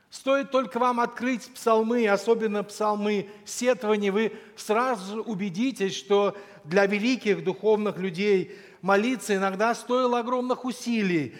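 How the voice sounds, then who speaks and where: A man with a rather high voice delivers a sermon with solemn, deliberate phrasing. He speaks as a preacher at a church conference. The sound carries the long reverberation of a big hall. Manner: solemn, deliberate, with quotations and scripture; frequent throat clearing.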